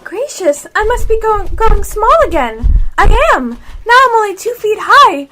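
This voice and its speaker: Alice, a female performer as a high-voiced curious girl. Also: animated, unhurried, very noisy. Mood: startled, relieved. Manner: earnest, talking to herself. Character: curious, polite, chattering young English girl. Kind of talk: amateur radio play acting.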